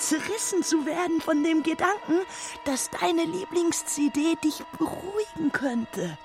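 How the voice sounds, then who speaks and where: A woman playing a bitter, furious, anguished figure in an intense literary radio monologue, deliberate and insistently repetitive. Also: sits high.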